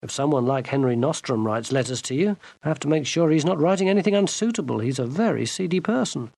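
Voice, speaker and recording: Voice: medium pitch, expressive, quick pace, vocal fry, darkish tone, no echo. A storyteller voicing a suave, bland grown man, mild and dry and never ruffled.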